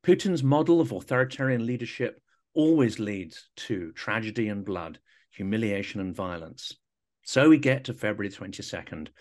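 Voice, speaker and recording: A man hosting a podcast, who reads a prepared introduction in a measured, formal way and then puts questions to his guest. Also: fairly low pitch. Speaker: podcast host.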